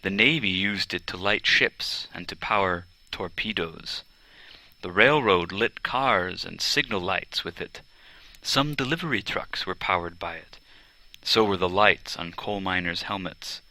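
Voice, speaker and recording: A man reading a children's biography aloud as scripted narration, in a measured, clearly enunciated way. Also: sits low, sharp tone, rough recording. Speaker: audiobook reader.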